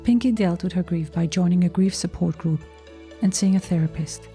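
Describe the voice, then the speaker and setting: A woman's voice: lowish voice, normal speed, mellow, faint music behind. A woman hosting a podcast, speaking in a scripted introduction and interview style. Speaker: podcast host.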